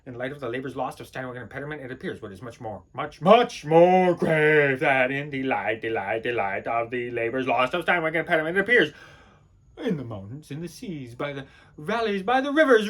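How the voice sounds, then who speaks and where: An actor as a wretched servant in an absurdist stage play, delivering a broken pseudo-scholarly tirade. The voice sits at a medium-to-high speaking pitch for theatre, declamatory and unstable. Phrases stammer and repeat, swinging between murmur and cry. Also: lively intonation, quick pace, a bit bright, husky. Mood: anguished, frantic.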